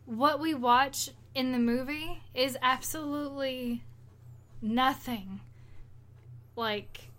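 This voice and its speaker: A woman's voice: high-pitched, takes it slowly, long pauses, a bit bright. A woman co-hosting a podcast, chatting casually and retelling stories in an informal, rambling, conversational way. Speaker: podcast co-host.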